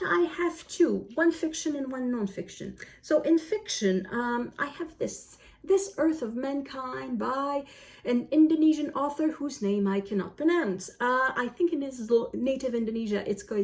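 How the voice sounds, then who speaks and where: A woman talking casually as a book vlogger reviewing to camera, often pausing and rephrasing as she goes. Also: sits high, animated, vocal fry.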